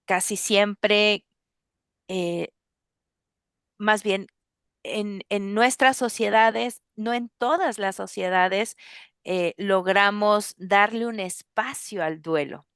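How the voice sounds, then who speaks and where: Woman hosting a chat, crisp voice with some fry, lots of ums. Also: medium pitch, fairly bright tone, dry recording, clean studio sound. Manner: earnest, with many filler pauses. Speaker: host. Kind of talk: interview hosting.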